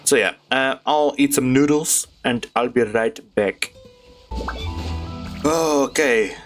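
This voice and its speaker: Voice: medium pitch, takes it slowly. A streamer giving casual, chatty and playful commentary while playing a video game. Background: faint game music.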